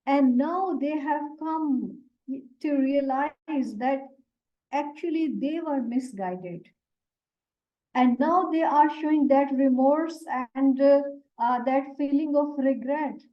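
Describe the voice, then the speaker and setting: A woman whose first language is Urdu explains the meaning of Quran verses word by word, measured and pausing with fillers. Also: sits high, lo-fi sound. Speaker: Quran teacher.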